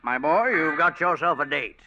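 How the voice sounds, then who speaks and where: A man's voice: fairly high pitch, lively intonation, normal speed, loud music behind the voice, close to an everyday voice. An actor playing a knowing, avuncular man who arranges dates, in old-time radio drama style. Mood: knowing, genial. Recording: dull vintage radio recording.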